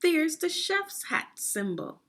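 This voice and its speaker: A woman narrating a children's read-along book in a deliberate, instructional way, posing questions to young listeners. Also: very high-pitched, very animated, fairly bright tone.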